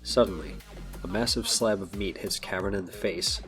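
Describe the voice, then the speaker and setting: Deep-voiced man narrating an audio drama. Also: moderate pace, over music.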